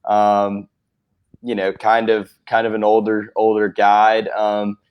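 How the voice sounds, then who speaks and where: A man's voice: fairly low pitch, completely flat delivery, takes it slowly, clear, resonant tone, highs cut off. A man with an American accent hosting a casual interview in a laid-back, affirming way. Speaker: interview host.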